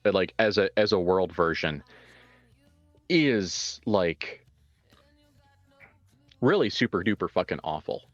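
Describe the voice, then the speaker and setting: Deep-voiced man, thoughtful and halting. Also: no room sound, highs cut off. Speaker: game master and writer of an actual-play show. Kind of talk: casual reflective discussion, answering questions.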